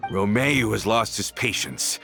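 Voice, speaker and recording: Game villain: bitter, vengeful man, low gravelly voice, theatrical and accusing. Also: measured pace, sharp tone, soft background music, heavily acted. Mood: cold, resolved. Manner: theatrical, accusing, swinging from scornful drawl to outburst. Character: bitter, vengeful man, disillusioned former loyalist with murderous grudge. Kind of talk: video game voice acting.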